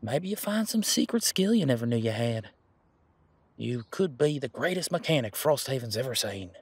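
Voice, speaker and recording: A man playing an easygoing, protective werewolf bodyguard in a roleplay audio drama, chatting in casual, halting, folksy phrases. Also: mid-range voice, big pitch swings, husky, dry recording. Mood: encouraging, upbeat. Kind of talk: roleplay audio drama, conversational monologue to listener.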